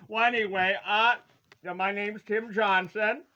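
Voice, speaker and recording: A man presenting a retro collectible in casual, rambling, halting chat, with a playful, hammy, folksy delivery and drawn-out words. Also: sits high, steady tone, bright, forceful tone, highs cut off.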